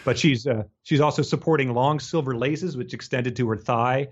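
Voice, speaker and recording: A man's voice: mid-range voice, normal speed, slightly rough, highs cut off. A man co-hosting a podcast in a casual, joking style, riffing comically on a book. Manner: joking, riffing, chuckles through talk. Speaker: podcast co-host.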